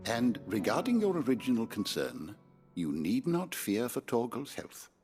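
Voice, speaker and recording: An actor with an English accent playing a learned, courtly scholar in a fantasy game, formal and eloquent. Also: male voice, medium pitch, sing-song, measured pace, over music. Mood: wry, reassuring. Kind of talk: video game drama acting.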